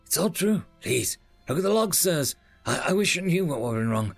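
A man's voice: fairly high pitch, sing-song, moderate pace, creaky, no room sound, soft background music, pitched above the performer's own voice, exaggerated voice. A man voicing an anxious, exasperated male technician, earnest and pleading, in an audiobook. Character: anxious, exasperated male technician defending himself, chain-smoking. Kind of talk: audiobook character dialogue.

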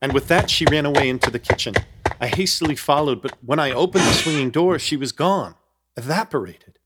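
A man's voice: a little high, brisk, noisy background, close to an everyday voice. An actor playing an uneasy apartment hunter who narrates his ordeal in florid, wry scripted storytelling for a horror comedy radio play. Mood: wry, puzzled.

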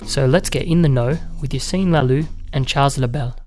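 A man's voice: mid-range voice, a little fast, over loud music. A man hosting a podcast who introduces the episode and then asks his guests friendly questions. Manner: friendly, prompts guests with short acknowledgements. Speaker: podcast host. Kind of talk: scripted intro then casual interview questions.

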